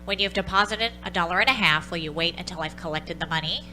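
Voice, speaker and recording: A woman's voice: on the low side, fast, noisy background, close to an everyday voice. A woman in an old-time radio play playing an impersonal telephone operator, clipped and procedural. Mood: impersonal, insistent.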